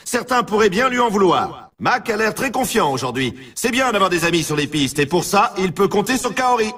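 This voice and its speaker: A man playing an excited, upbeat snowboard race announcer in a video game, with showman commentary and a husky voice. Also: high-pitched, a little fast, far from the mic, full character voice. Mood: hyped.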